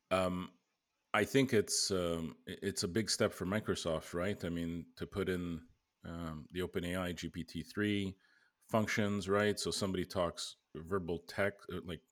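Low-voiced man thinking out loud. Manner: rambling, many fillers and restarts, reflective. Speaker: podcast co-host. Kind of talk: casual tech podcast chat.

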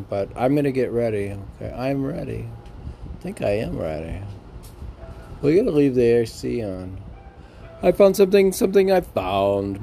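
A man talking casually in a halting, musing, repetitive way and reciting poetry as a podcast host, on an amateur recording. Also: fairly low pitch, vocal fry, mellow, slight background noise. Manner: halting, musing, repeating phrases. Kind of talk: rambling casual talk and poem recitation.

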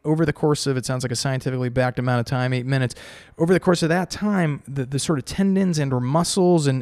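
A man's voice: a little high, a little mellow, dry recording. Dry-sounding rambling podcast host, a man. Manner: rambling summaries full of fillers. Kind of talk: casual podcast interview.

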